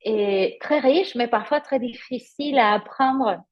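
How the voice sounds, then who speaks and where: High-voiced Spanish-accented woman teaching. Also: highs cut off. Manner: explanatory, pauses to find words, gives personal examples. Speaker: yoga teacher trainer. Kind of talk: live webinar teaching, conversational.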